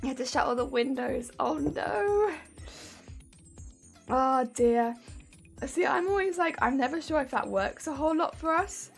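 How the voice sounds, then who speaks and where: A fairly high-voiced woman with a light, warbly voice that sounds a touch blocked up, as if from hay fever. She chats away in a relaxed, giggly stream-style ramble, greeting viewers. Soft music plays faintly under her. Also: unhurried, gravelly, long pauses. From United Kingdom. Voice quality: weak, warbly voice. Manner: chatty, giggly, greets chat cheerfully. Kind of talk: casual chat while crafting. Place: home craft room.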